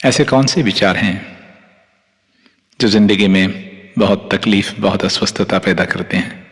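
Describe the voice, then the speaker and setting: A man with an Indian accent guiding a meditation as a teacher, calm, unhurried and soothing, leaving long pauses between phrases. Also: lowish voice. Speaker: meditation teacher, Reiki master guiding yoga nidra. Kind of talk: guided meditation, instructing listeners. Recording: long hall-like reverb on the voice.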